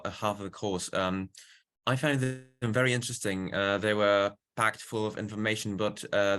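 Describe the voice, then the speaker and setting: A man in his late teens with a Polish accent, answering interview questions earnestly and haltingly, often repeating words as he searches for phrasing. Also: lowish voice, fairly bright tone, clear, resonant tone. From Poland. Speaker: student interview guest.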